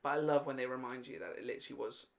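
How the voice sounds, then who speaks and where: A young man co-hosting a podcast in casual chat, enthusiastic, jokey and quick to swear. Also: mid-range voice, fairly even intonation, creaky, over a noisy crowd. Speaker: podcast co-host.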